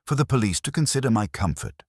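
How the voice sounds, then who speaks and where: A man's voice: medium pitch, very animated, quick pace, darkish tone, breathy edge, dry recording, studio quality, voice pushed up. A man voicing a prim, guarded small-town woman in an audiobook mystery, with clipped, proper and tartly reserved speech.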